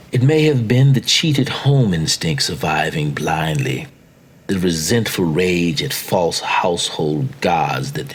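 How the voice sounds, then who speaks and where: Low-voiced man, theatrical storyteller. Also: slightly rough. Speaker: story reader. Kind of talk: dramatic literary narration of a macabre tale. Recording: faint background noise and ambience.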